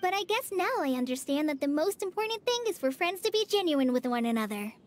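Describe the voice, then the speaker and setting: A woman's voice: high-pitched, sing-song, quick pace. A woman voicing a shy, polite young trainee forest ranger in an anime-style video game, sincere and slightly hesitant. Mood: sincere, grateful.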